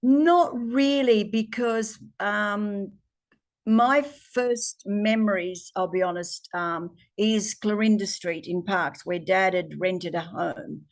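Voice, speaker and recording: A woman in her seventies with an Australian accent, recalling her family's past in an interview, pausing often with ums. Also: mid-range voice. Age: 70s. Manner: hesitant, many ums, reflective. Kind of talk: oral history interview.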